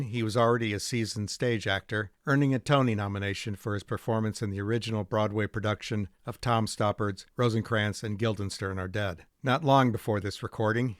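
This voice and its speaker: A man hosting a radio drama rebroadcast series, giving a measured, polished scripted introduction. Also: on the low side, no echo, studio quality. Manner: measured, polished presenter delivery. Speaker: host. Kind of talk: scripted narration.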